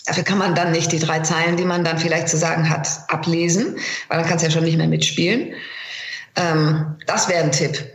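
Middle-aged woman, lowish voice, roomy echo. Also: steady tone, a little fast. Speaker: voice actress, interview guest. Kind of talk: conversational interview, anecdotes and advice. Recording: roomy, echoing sound.

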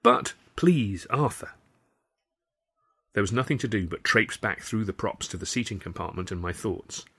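A man reading his own first-person novel aloud as a wry, expressive storyteller. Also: low-pitched, fairly quick, few pauses, husky. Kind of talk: first-person audiobook narration.

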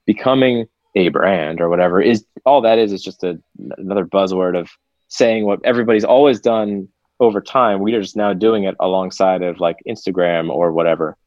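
A man's voice: low-pitched, brisk, darkish tone, dry recording. A man from Seattle, a podcast guest talking candidly and reflectively, sometimes swearing in casual conversation. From Seattle, USA. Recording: dull, lo-fi remote audio.

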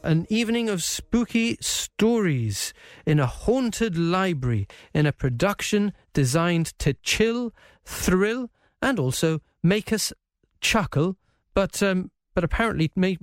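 A man hosting a live current affairs programme, asking questions in a conversational, halting way. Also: sits high, animated, no echo, clean studio sound. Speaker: radio and TV programme host.

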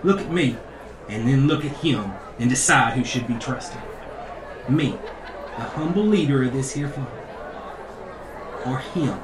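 An actor playing a pompous, indignant, crooked church leader with a preachy, folksy manner in a comedic audio drama. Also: male voice, medium pitch, background noise, close to an everyday voice. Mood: indignant, self-righteous. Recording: echoey room, distant, crowd behind.

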